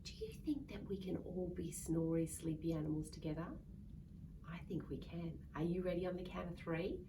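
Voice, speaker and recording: A woman reading a picture book aloud to young children in a playful, expressive way, drawing out words and acting out sounds. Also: on the low side, a little fast. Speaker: children's story reader. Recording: distant mic with faint background noise.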